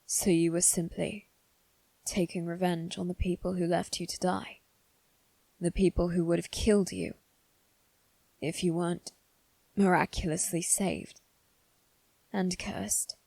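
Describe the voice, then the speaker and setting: Woman doing a guarded posh-mannered noble boy for asmr roleplay, really slow, very dry close sound. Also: on the low side, fairly even intonation, vocal fry, mellow, no room sound. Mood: thoughtful, subdued. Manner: measured, deliberate, formal phrasing. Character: guarded, haughty young aristocratic boy seeking revenge. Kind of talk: ASMR roleplay, one-sided scripted dialogue.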